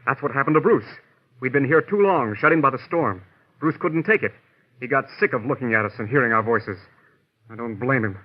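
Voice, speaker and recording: Actor playing an edgy doctor, medium-pitched voice. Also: male voice, a little fast, lightly acted. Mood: fed up. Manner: insistent, blustering. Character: fed-up, self-important male doctor, edgy and defensive. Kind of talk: old-time radio mystery acting. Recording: dull lo-fi vintage radio recording.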